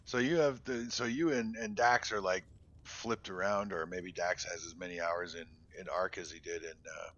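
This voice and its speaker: Low-voiced man chatting on a stream. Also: steady tone, moderate pace, fairly bright tone. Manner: rambling, talks to chat while playing, drops into mutters. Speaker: game streamer. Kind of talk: casual live-stream chat. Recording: dull lo-fi stream audio.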